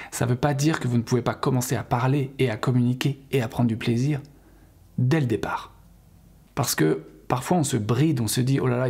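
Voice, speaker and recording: A man's voice: medium pitch, a little mellow, husky. A middle-aged French man giving an encouraging spoken lesson to camera, earnest and full of fillers and restarts. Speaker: English teacher.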